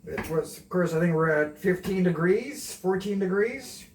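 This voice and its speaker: A man's voice: high-pitched, animated, normal speed, darkish tone, a little hiss or hum. A man from Canada in his forties chatting casually while cooking at home, rambling and self-deprecating, a bit nervous on camera. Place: small home kitchen, indoors. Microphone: camera a few steps away. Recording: roomy, distant camera mic.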